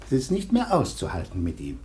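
An actor playing a strict, skeptical schoolteacher in a German radio play, speaking in a formal, indignant manner. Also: male voice, low voice, huge pitch swings, fairly quick, heavy background noise. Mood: exasperated. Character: exasperated, skeptical, strict male schoolteacher and guardian.

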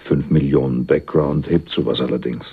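An actor playing a sly, cynical go-between with a wry, teasing way of talking in a German radio crime drama. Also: male voice, a bass voice, steady tone, normal speed, gravelly, breathy edge, exaggerated voice. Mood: wry, flirtatious. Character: cynical, sly male go-between, cheekily flirtatious. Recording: muffled, phone-like 1980s radio recording.

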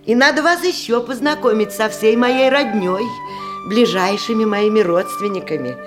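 An actress playing a genteel provincial widow in a radio play, narrating in a chatty, ornate, old-fashioned way. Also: female voice, fairly high pitch, moderate pace, music in the background, lightly acted. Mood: hospitable, chatty. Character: genteel provincial widow hostess of nineteenth-century Russia.

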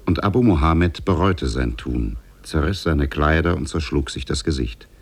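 A man as a solemn storyteller narrating a 1950s radio drama, gravelly and husky. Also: a bass voice, moderate pace, exaggerated voice. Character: solemn storyteller of Arabian Nights tales.

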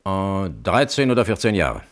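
A strict army security colonel testifying in a radio drama, formal, very animated pitch. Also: male voice, normal speed. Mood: matter-of-fact. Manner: formal, uncompromising, precise. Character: hardline male military security colonel testifying as witness. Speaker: witness at a hearing. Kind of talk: radio play courtroom acting.

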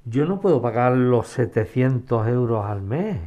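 A man's voice: mid-range voice, lively intonation, unhurried, warm tone, lightly acted. A man acting an evasive older man in an audio drama, hesitant and defensive as he gets cornered. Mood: defensive, ashamed. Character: evasive older man cornered about leaving his home.

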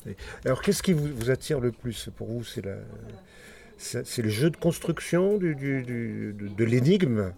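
Male literary interviewer, mid pitch, mellow tone, searches for words. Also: lively intonation, vocal fry. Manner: searching for words, halting questions.

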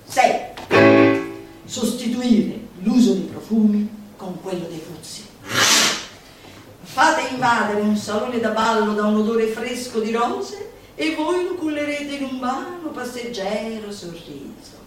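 A woman performer reading a manifesto as theatrical declamation, deliberate and declamatory, with dramatic pauses. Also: on the high side, lively intonation, faint music behind. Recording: roomy, echoing, distant mic, faint noise.

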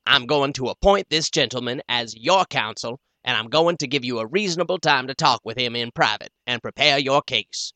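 A man's voice: medium pitch, lively intonation, moderate pace, few pauses, bright, forceful tone, dry recording. A man voicing a self-important chairman making a formal speech. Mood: stern.

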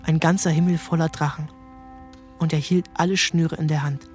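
Guy narrating a novel, calm and measured, a bit high pitched, faint music. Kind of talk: scripted narration.

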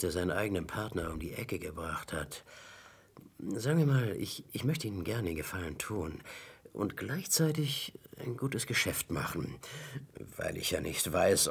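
A man's voice: deep voice, creaky, husky, exaggerated voice. An actor playing a brash, coarse henchman in a radio drama, taunting and volatile. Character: Ricardo, brash mocking male henchman of a crook. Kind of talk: German radio drama acting.

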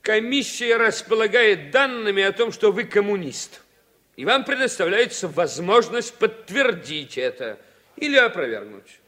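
An actor playing a stern, accusing congressional interrogator with an official, peremptory manner in a Soviet radio drama. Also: male voice, sits high, big pitch swings, a little slow, bright, forceful tone, exaggerated voice.

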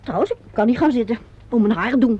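A teasing, high-voiced theatre woman, muffled recording. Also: expressive, fast talker, husky, dry recording. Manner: teasing, bantering, flippant. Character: self-possessed, teasing theatre woman named Liz. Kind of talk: radio drama acting. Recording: dull lo-fi radio play recording.

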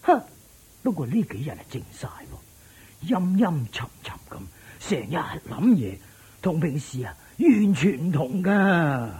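A loyal old manservant in a Cantonese radio drama, with a Hong Kong accent. His voice is very husky and breathy, swinging widely in pitch as he frets. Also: very animated, a little slow, a little mellow, exaggerated voice. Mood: hushed, uneasy. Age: older. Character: anxious, loyal old male family servant.

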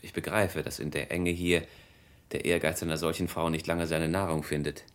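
Deep-voiced cynical young chevalier. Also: male voice, flat delivery, moderate pace. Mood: mocking. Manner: suave, insinuating, theatrical. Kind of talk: classic German radio play acting.

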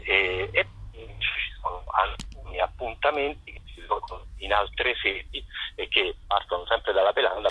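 A man's voice: deep voice, bright, forceful tone, slightly rough, lo-fi sound. A man answering interview questions as a festival director, explaining at length in a hesitant, discursive way.

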